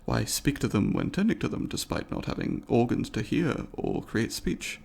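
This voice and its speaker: A man voicing a curious, courteous alien researcher in an ASMR roleplay, speaking formally and deliberately, with academic wording and a gravelly voice. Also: mid-range voice, very animated, darkish tone, heavily acted. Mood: earnest, puzzled. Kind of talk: ASMR roleplay, scripted monologue to the listener.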